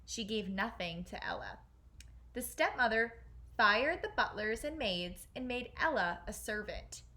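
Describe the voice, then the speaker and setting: A woman teacher reading a children's fairy tale aloud in an expressive storytelling style. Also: on the high side, measured pace.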